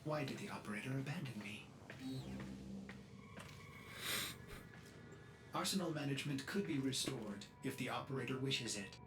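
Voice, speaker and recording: A man's voice: mid-range voice, fast talker, fairly bright tone, soft background music, close to an everyday voice. A man voicing a dutiful, fussy ship computer in a video game, formal and theatrical, with a wounded air. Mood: plaintive. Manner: formal, speaks of itself in third person, theatrical. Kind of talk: video game character acting.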